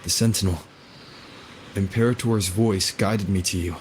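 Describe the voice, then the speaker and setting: An actor playing a calm, earnest young male wanderer hero in a video game, speaking in a measured, serious way. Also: low-pitched, a little hiss or hum.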